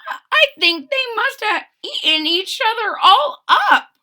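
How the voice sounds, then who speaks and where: A very old woman from a storybook, as acted by a woman reading aloud, with a high voice that swoops up and down. She is tender and fretful, drawing out her words slowly. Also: big pitch swings, bright, forceful tone, full character voice. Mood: dismayed. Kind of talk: storybook read-aloud character voice.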